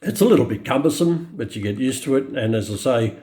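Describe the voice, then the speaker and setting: An Australian man giving an unscripted hands-on product demonstration, explaining things in a halting, matter-of-fact way into a camera mic. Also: mid-range voice, expressive, dark tone. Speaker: radio collector presenting a review.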